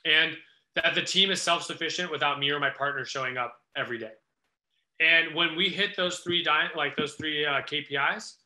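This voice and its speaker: American man, thirties, interview guest, slightly high forceful voice, roomy video-call sound. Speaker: guest restaurateur. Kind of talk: conversational Q&A answers. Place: small indoor office. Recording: echoey room on video call.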